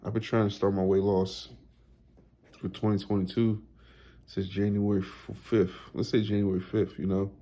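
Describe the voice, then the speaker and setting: A man's voice: on the low side, flat delivery, normal speed, mellow. A man in his thirties making a casual motivational vlog, talking in a loose, repetitive, slangy way with lots of "you know". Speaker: weight loss vlogger.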